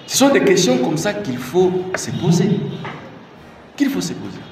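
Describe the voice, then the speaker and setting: A man's voice: high voice, big pitch swings, measured pace, creaky, music in the background. A middle-aged Congolese man with a Congolese French accent gives a public talk. He repeats his key phrases and asks the audience rhetorical questions. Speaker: presidential adviser giving a public talk. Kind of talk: public speech on the constitution. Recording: echoey hall, mic far off.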